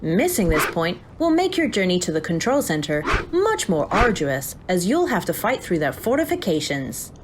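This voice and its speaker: An actress playing a calm, detached female game AI, giving clipped instructions and warnings in a video game parody drama. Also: lowish voice, normal speed, few pauses, noisy background. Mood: calm, instructive. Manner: flat, clipped announcements and instructions.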